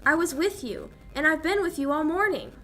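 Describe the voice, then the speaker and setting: A young woman acting an anxious, sharp-tongued fiancée in a 1940s-style radio drama. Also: high-pitched, a little fast. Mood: indignant, anxious. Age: young adult. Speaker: high school actor. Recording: background noise.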